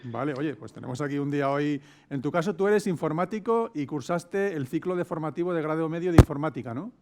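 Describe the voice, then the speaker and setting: Higher-pitched Spanish man moderating. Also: animated, fast, background noise. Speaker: moderator of an online event. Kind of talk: moderating and interviewing. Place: video call.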